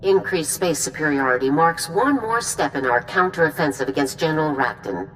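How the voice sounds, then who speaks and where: A woman playing a composed military general, giving formal, measured briefings in a video game cutscene. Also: low-pitched, lively intonation, a bit bright, slight background noise, rough recording. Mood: composed, businesslike.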